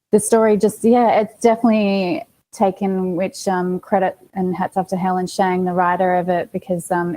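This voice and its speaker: Female interview guest, Australian accent, mellow and relaxed tone, conversational with thoughtful pauses. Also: fairly low pitch, rough recording. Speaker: interview guest, actress and producer. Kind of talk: casual interview chat.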